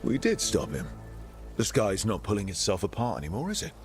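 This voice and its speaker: Deep, expressive adventuring leader. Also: male voice, a little fast, faint music behind. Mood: serious, explaining. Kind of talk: video game cutscene acting.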